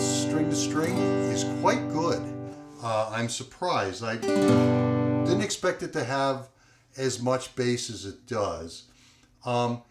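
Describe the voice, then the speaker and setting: A man with a loud voice talks through a guitar review in a loose, rambling way, his sentences full of fillers. He speaks in a middle pitch in an echoey room, and guitar playing can be heard faintly behind him. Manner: relaxed, rambling, many fillers. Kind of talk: casual product review with playing.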